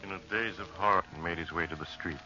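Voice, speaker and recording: An actor playing a grave, dramatic storyteller, narrating a vintage radio horror tale. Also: male voice, sits low, pushed and bright, faint music behind. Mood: grave, ominous. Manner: dramatic, deliberate. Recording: muffled old radio recording.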